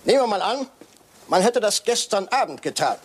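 An actor playing a courtly, shrewd private detective in a German radio crime drama, theatrical and probing. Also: male voice, high-pitched, sing-song, brisk, slightly rough, exaggerated voice.

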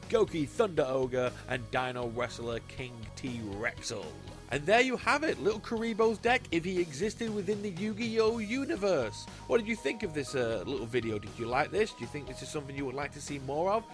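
Male host, mid pitch, casual and upbeat, light music underneath. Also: a bit bright. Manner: chatty and enthusiastic, lists card names. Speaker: YouTube host. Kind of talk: casual card-deck commentary.

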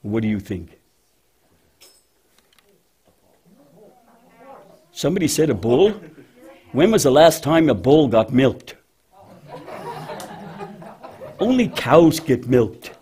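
A man voicing a patient, motherly female cow with a deep voice in a children's church puppet show, gently and hesitantly explaining things. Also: very animated, normal speed, natural voice. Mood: mildly indignant.

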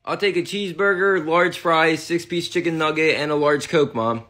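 A performer voicing a glum, overlooked older brother with a resigned deadpan manner in a comedy cartoon. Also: medium pitch, normal speed, natural voice.

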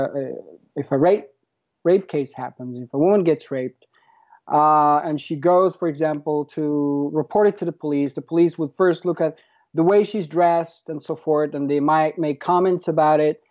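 A man in his thirties from Morocco speaks with a Moroccan accent as an interview guest. His voice is soft-edged and dark, sitting a little high. He explains things haltingly, repeating words, over a slightly muffled recording. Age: 30s. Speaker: podcast guest, activist. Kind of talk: conversational interview answers.

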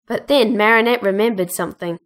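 A woman's voice: mid-range voice, a little fast, a bit bright. A woman narrator reading a scripted children's adventure story in storybook style.

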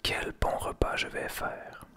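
A man softly whispering the lines of a cruel old child-eating witch in a storybook tale. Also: slow, lightly acted. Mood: gloating. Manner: whispered throughout.

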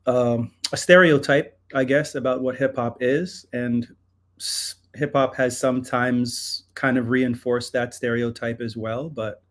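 A man's voice: mid-range voice, fairly even intonation, unhurried. Hesitant, thoughtful man guest. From Toronto, Canada. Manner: thoughtful, hesitant, many ums. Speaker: guest artist. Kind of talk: casual interview answers. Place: home room with guitars on a video call.

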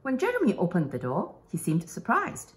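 A woman reading a children's picture book aloud in an expressive, dramatic way, with emphatic pauses and hushed asides. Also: fairly low pitch, rough recording. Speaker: storybook reader.

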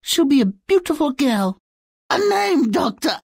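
A woman's voice: high-pitched, big pitch swings, unhurried, gravelly, a little mellow, no echo, studio quality, heavily acted. A woman playing a haughty fairy-tale queen in a video game, with a theatrical, demanding delivery. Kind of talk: video game character acting.

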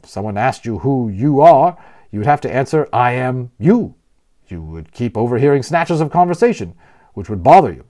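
A man's voice: medium pitch, big pitch swings, normal speed, warm tone, close to an everyday voice. A man voicing a genial, talkative man who explains things proudly and playfully as a guide in a storybook. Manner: explanatory, emphatic, playfully wordy.

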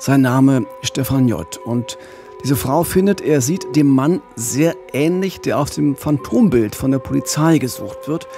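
A man's voice: mid-range voice, animated, unhurried, dark tone, faint music behind. A man in his forties hosting a true-crime podcast, narrating conversationally into a studio microphone. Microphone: studio condenser mic with pop filter.

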